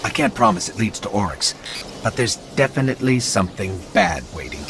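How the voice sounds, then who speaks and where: A man's voice: fairly low pitch, wildly swooping pitch, fairly quick, creaky, background noise, heavily acted. A man voicing a small, curious and wary robot companion in a video game, explaining things in an articulate, musing way. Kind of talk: video game voice acting, exploratory narration.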